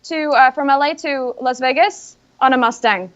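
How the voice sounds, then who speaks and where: A young woman from Iceland answers interview questions in English with an Icelandic accent, her first language being Icelandic. She talks softly and modestly and giggles between answers. Her voice sits a little high, and the recording sounds dull and lo-fi. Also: moderate pace. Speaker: bikini bodybuilding competitor.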